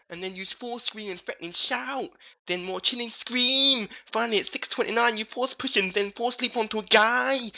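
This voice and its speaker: A woman's voice: a little high, bright, forceful tone. A woman co-host reading gamer forum posts aloud for comedy, with a mock-serious, exaggerated delivery.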